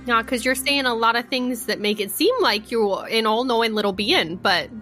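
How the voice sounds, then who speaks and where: A woman with a big voice playing a tabletop game, skeptical and quick to cut in. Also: on the high side, a bit bright, faint music behind. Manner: big voice, skeptical, talks over others. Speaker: player. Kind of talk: casual tabletop roleplay.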